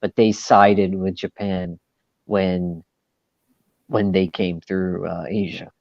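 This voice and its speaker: A man with a lowish voice joins the chat as a guest, speaking hesitantly and qualifying what he says. His audio sounds dull and dry. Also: little pitch movement, slow, creaky, no room sound. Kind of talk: casual chat.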